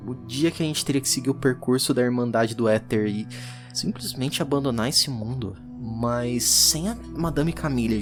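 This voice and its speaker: A performer with a Brazilian accent voicing a distraught, defiant, hunted woman occultist in a halting, emotionally charged audio drama monologue. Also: medium pitch, natural voice. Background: music.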